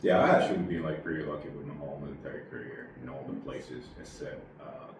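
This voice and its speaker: A very deep-voiced man from Puerto Rico rambles through his story with plenty of fillers and restarts. He sounds set back from the microphone in a very echoey room, with steady noise behind him. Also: creaky, far from the mic. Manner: rambling, halting, many fillers. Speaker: guest, army veteran. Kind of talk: casual interview storytelling.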